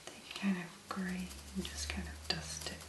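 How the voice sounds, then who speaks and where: Woman doing asmr, soft whispery voice, really slow and gentle, bit of room echo. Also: lowish voice, little pitch movement. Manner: gentle, slow, unhurried murmuring with pauses. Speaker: ASMR video creator. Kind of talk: ASMR, soft-spoken make-up demonstration.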